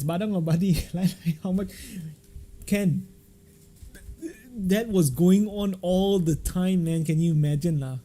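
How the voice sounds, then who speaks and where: A man with a Malaysian accent hosting a casual livestream, chatting and telling stories in an animated, jokey way full of local slang. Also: high-pitched. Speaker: livestream host. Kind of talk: casual live-stream chat and storytelling.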